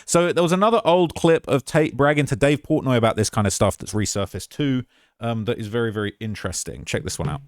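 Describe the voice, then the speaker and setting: A man's voice: mid-range voice, fast talker, no echo, studio quality. A man hosting a commentary show, talking casually and mockingly as he reacts to clips. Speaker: commentary channel host.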